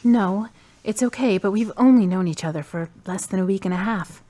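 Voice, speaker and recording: An earnest young woman, as a woman acts her out, speaking directly in a mid-pitched voice. She talks plainly and openly, with a caring tone. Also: fairly quick, mellow, lightly acted.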